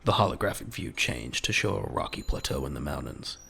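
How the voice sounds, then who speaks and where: A man narrating a scripted audio drama in a measured, dramatic, gravelly voice. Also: very deep voice, expressive, a bit bright, soft background music.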